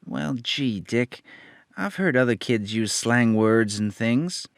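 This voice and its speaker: A man's voice: medium pitch, animated, slow, creaky, no room sound, clean studio sound. A man voicing an earnest young boy sidekick in an old-time radio play, meek and polite.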